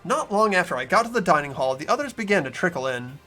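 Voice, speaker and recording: A man hosting a game playthrough, switching between casual commentary and expressive reading of the narration. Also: high-pitched, quick pace, faint music behind.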